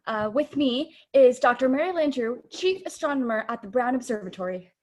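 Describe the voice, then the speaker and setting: A woman's voice: sits high, lively intonation, brisk. A young woman playing a flustered, polite radio news reporter in a spoof radio play, hesitant and stumbling. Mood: flustered, formal. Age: teens to young adult. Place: bedroom, video call.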